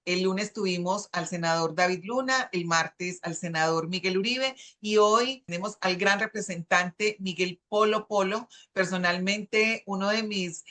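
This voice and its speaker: A woman's voice: medium pitch, fairly bright tone. Hesitant middle-aged Colombian woman host. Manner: hesitant, searching for words. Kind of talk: hosting a video conversation.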